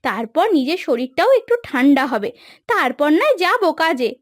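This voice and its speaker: A woman's voice: high voice, big pitch swings, dry recording. A woman playing a weary, plaintive village housemaid and wife in a cartoon moral story. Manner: plaintive, complaining, drawn-out lamenting. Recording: dry studio voice over background music.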